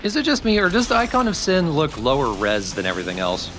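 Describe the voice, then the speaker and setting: A man's voice: on the high side, wildly swooping pitch, quick pace, fairly bright tone, slight background noise. A man co-commentating casually on a video game. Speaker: let's play co-commentator. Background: video game sound.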